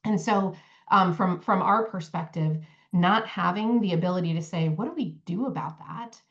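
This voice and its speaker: A woman's voice: on the low side, moderate pace. A woman answering conversationally as a panelist, heard over slightly dull video-call audio. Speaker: panelist working with forest landowners.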